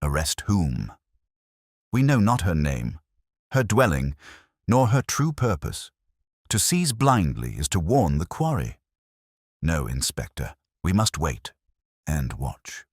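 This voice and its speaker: Male narrator as Victorian gentleman detective, storybook, very deep voice, formal, quietly authoritative, dry sound. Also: very animated, slow, long pauses, husky, no echo, exaggerated voice. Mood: commanding. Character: Victorian gentleman detective, keen, calm, commanding.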